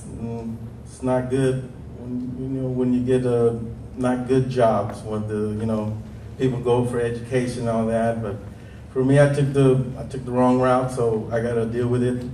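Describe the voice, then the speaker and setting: A man in his thirties from Stockton, California with a deep voice, telling his life story on a panel, nervous and hesitant with many pauses. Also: warm tone, roomy sound, distant mic, slight background noise, low-quality recording. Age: 30s. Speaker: panel speaker. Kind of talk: nervous personal testimony. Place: large hall.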